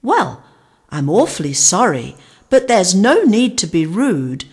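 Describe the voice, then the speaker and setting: A woman voicing a gentle, clumsy female dinosaur who is polite and apologetic. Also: medium pitch, very animated, slow, slightly rough, full character voice. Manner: polite, apologetic, soft-hearted.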